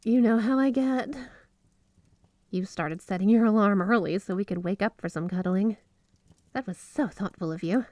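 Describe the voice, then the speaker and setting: A woman's voice: medium pitch, moderate pace, a little mellow, no echo, lightly acted. A woman playing a flirty, obsessively devoted yandere wife in an ASMR roleplay, speaking in a sweet, clingy, gushing way. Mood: tender, needy. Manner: sweet, clingy, breathy giggles, gushing affection. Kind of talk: ASMR roleplay, addressing the listener as spouse. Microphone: close studio microphone, dry.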